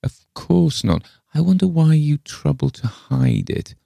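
A practical woman visitor from a storybook, played by a man, with a voice lifted well up in pitch. She is brisk and cheerful, matter-of-fact, and coaxes with polite insistence. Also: animated, creaky, warm tone, no room sound, heavily acted. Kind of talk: dramatised storybook reading.

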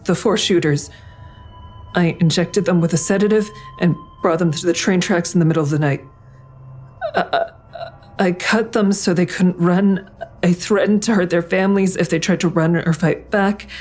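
An actress playing a hesitant, guilt-ridden grown daughter who narrates and confesses plainly in an audio drama. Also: female voice, on the low side, a little mellow. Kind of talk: audio drama acting with first-person narration.

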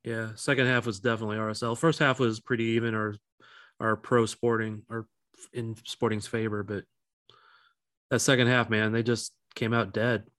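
A man's voice: fairly low pitch, little pitch movement, normal speed, a bit bright, no echo, clean studio sound. A man co-hosting a casual sports chat, giving short, blunt opinions. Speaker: podcast co-host.

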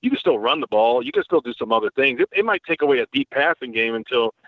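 A man's voice: medium pitch, quick pace, dry recording. Man on a tinny phone-line call. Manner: animated, profane, joking. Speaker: podcast guest. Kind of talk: casual sports podcast chat.

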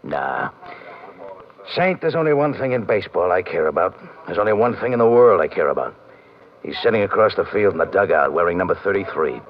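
Resigned veteran athlete and proud dad, low but animated, muffled radio play. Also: male voice, fairly quick. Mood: wistful. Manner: plainspoken, wistful. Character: weary aging ballplayer, proud father, resigned. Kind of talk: golden-age radio drama acting. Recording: muffled vintage radio recording.

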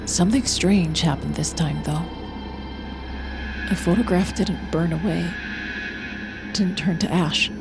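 A haunted, introspective narrator in an audio drama. Her voice is low and very slow, measured and defeated as she recounts a lonely search. Music plays loudly beneath her. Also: vocal fry. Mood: numb, bitter. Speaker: narrator and lead character. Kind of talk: scripted first-person narration, audio drama. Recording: prominent music under studio narration. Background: loud music.

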